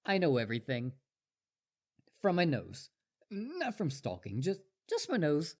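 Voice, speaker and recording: A performer voicing a clingy, excitable young wolf boy. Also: medium pitch, unhurried. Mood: eager, boastful. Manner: stammering, eager, earnest, over-devoted. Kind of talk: roleplay audio drama monologue to listener.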